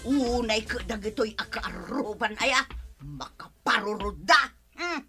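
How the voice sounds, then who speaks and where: A woman's voice: a little high, animated, measured pace, music in the background. An actress playing a quarrelsome older village woman who scolds and nags in an Ilocano Filipino accent, in a radio comedy drama. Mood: irritated scolding. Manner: scolding, loud, nagging.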